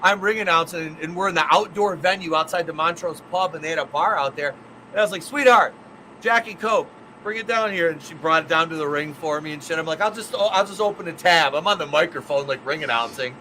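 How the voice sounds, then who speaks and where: A man talks in a high, bright voice, swearing freely as he rambles through wrestling stories. The recording carries a faint hum of background noise. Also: quick pace, low-quality recording. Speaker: podcast co-host and ring announcer. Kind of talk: casual profane chat.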